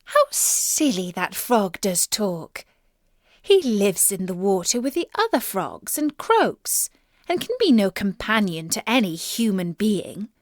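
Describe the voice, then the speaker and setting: A woman's voice: sing-song, takes it slowly, dry recording, studio quality. A woman playing a spoiled, dainty young princess in a children's storybook, speaking in formal, old-fashioned phrases. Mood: scornful. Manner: formal old-fashioned speech, high and protesting.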